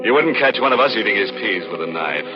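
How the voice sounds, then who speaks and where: A man's voice: on the low side, lively intonation, fast talker, natural voice. An actor playing a ghoulish, mock-genial male host in a 1940s radio horror show, joking macabrely with mock courtesy. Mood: mock-genial welcome. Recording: muffled old radio broadcast, music behind.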